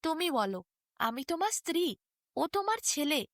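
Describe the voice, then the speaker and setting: A woman's voice: sits high, big pitch swings, normal speed, fairly bright tone, no room sound, clean studio sound, heavily acted. A woman voicing a devout, emotional young mother pleading with her long-lost husband in a fairy tale. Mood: tearful, earnest.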